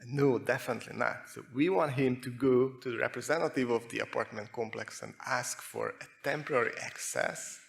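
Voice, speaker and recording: A man with a Hungarian accent giving a prepared technical presentation, speaking in measured short phrases with pauses. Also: mid-range voice, vocal fry. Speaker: conference speaker, security researcher.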